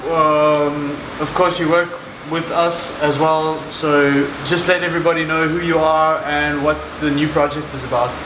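Man, 30s, relaxed podcast host, echoey coffee shop, steady background hum. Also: a little high, unhurried, few pauses. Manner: laid-back, relaxed with fillers. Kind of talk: casual podcast chat.

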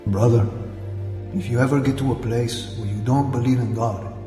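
An earnest brother voicing a letter, big echoey reverb, music under it. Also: male voice, mid-range voice, fairly even intonation, moderate pace, mellow. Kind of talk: radio drama acting. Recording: long echoing reverb.

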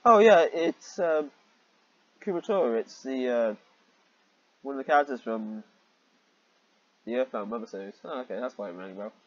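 A man's voice: mid-range voice, animated, normal speed, long pauses, dry recording, muffled recording. A man reading a story aloud in a mocking way, exasperated and often breaking off into rambling asides. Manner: exasperated, easily sidetracked, breaking off to comment. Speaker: reader and commentator of fan fiction.

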